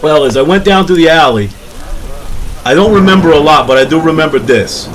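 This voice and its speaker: A brash, defensive werewolf with a New York accent and a somewhat high male voice, acted by a man. He grumbles like a streetwise guy in a comic radio interview, with noise behind him. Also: lively intonation, a little fast, lightly acted, poor audio. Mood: aggrieved. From Brooklyn, New York, as the figure. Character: brash, touchy Brooklyn werewolf, grumbling about his image. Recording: clear background noise.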